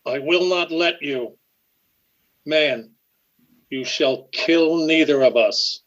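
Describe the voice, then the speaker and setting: A male actor playing an earnest, protective robot in a radio drama, measured and formal. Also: fairly high pitch, a bit bright, muffled recording. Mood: defiant. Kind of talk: old-time radio drama acting.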